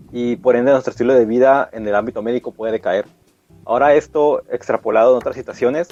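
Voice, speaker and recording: A man's voice: on the low side, darkish tone. A twenty-one-year-old man, a podcast guest making his argument with fillers and restarts. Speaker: guest, society president. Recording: muffled lo-fi mic.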